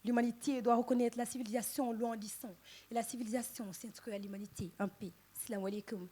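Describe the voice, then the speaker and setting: A woman from southern Senegal with a Senegalese French accent, reciting poetry in an impassioned, emphatic manner with dramatic pauses. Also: fairly high pitch, fairly even intonation, noisy background. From Casamance, southern Senegal. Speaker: poet reciting at a poetry contest. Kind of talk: declaimed slam poetry recitation.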